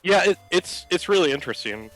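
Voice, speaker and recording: A man's voice: a little high, animated, fairly quick, fairly bright tone, poor audio. A man calling in to a gaming livestream, chatting casually in a hesitant, laconic way. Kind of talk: casual chat. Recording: game music behind the voice. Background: video game music.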